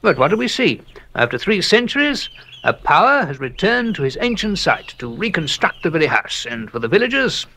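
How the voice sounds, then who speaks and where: An erudite captain in an audio horror drama, with a fairly high voice that swings widely in pitch. He is excitable and theatrical, pausing and savoring each grisly detail of old legends. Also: gravelly, slight background noise, full character voice. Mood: ominous, earnest. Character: excitable, erudite English captain relating dark local legends. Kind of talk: audio drama dialogue, storytelling.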